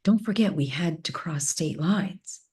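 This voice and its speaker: A woman's voice: low voice, animated, a little slow, warm tone, breathy edge, heavily acted. A woman playing a stubborn, by-the-book female detective in a screenplay table read, firm and dismissive.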